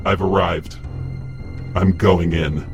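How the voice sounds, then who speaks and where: Guarded young superhero, played by a man in an audio drama: lowish voice, terse and businesslike, prominent dramatic score. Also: steady tone, slow pace. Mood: resolute. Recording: loud dramatic music behind voice.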